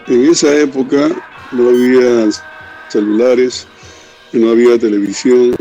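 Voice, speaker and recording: A man in his seventies with a Peruvian Spanish accent, a rock singer telling stories from his youth in an unhurried, reflective interview. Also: mid-range voice, little pitch movement, dark tone, muffled recording, soft background music. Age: 70s. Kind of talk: reminiscing interview.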